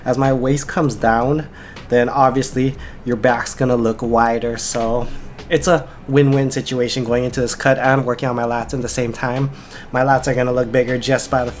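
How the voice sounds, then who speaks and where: A man's voice: mid-range voice, flat delivery, moderate pace, a little hiss or hum. A young man vlogging about dieting and workouts in a casual, rambling way, slightly congested and nasal from a cold, with lots of "like" fillers. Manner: rambling, filler-heavy with frequent "like". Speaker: fitness vlogger and coach. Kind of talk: casual vlog chat.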